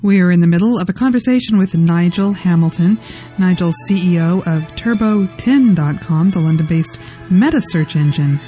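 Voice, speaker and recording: A woman co-hosting a radio talk show, asking the guest questions and reading brisk station announcements. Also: on the low side, dark tone, faint music behind. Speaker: radio co-host. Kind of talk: radio interview.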